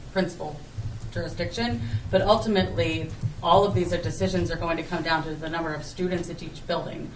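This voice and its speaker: Low-voiced woman in a roomy hall. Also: background noise. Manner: loud, circling explanations. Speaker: school administrator. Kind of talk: answering questions at a public meeting. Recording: roomy, distant meeting room mic.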